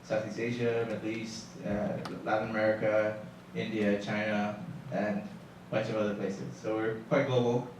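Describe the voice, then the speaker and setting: A man's voice: lowish voice, flat delivery, normal speed, hardly any pauses. Man in a very echoey hall. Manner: explanatory, loose and conversational. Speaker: panelist. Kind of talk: panel discussion. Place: conference hall. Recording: echoey hall from far off, faint noise.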